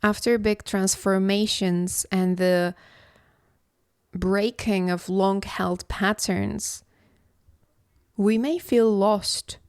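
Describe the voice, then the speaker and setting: A woman from Istanbul, hosting a reflective solo podcast as a philosophy coach, speaking in a slow, contemplative, measured way. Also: medium pitch, darkish tone, clean tone, dry recording, studio quality. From Istanbul, Turkey.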